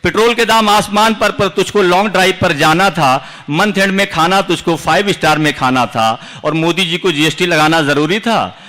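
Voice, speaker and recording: A man's voice: sits high, normal speed, hardly any pauses, bright, forceful tone. A man from India reciting his own verse at a poetry gathering, declaiming and repeating lines for effect. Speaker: poet at a recital. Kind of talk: reciting humorous and serious verse. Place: large outdoor poetry gathering.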